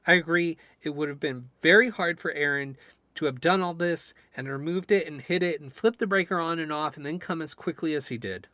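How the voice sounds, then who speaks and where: An actor playing a shaken, suspicious man in an audio drama, speaking haltingly and with exasperation. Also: on the high side, fairly bright tone, no echo, lightly acted. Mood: accusing, exasperated. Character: Greg, shaken, suspicious man unnerved by strange events.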